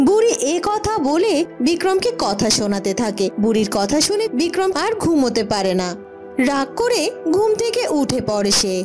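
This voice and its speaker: A woman's voice: sits high, very animated, normal speed, a little mellow, soft background music. A woman narrating a scripted cartoon folk tale as a storyteller.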